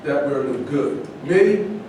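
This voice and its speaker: A man's voice: expressive, slow. A man in a staged reading, playing a proud, combative boxing champion who stands on his beliefs. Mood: argumentative. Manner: combative, emphatic. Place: indoor room at a staged reading. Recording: echoey room, distant mic, noisy rumble.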